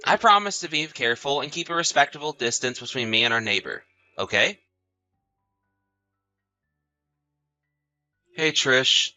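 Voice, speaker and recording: A man's voice: fairly high pitch, sharp tone. A man playing an easygoing, sociable husband in chatty, casual audio drama dialogue. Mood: apologetic, reassuring. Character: easygoing husband, sociable, placating, mildly defensive.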